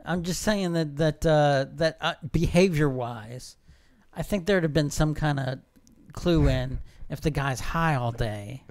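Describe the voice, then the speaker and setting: A man co-hosting a podcast in casual banter, contrary and a bit halting. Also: on the high side, no room sound. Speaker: podcast co-host.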